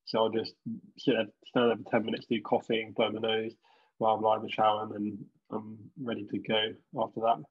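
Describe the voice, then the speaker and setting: A man in his mid-twenties with an English accent and a husky voice, chatting casually. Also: on the low side, completely flat delivery, fairly quick. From Milton Keynes, England. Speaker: panel participant, accountant. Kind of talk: casual chat. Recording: dull lo-fi video call.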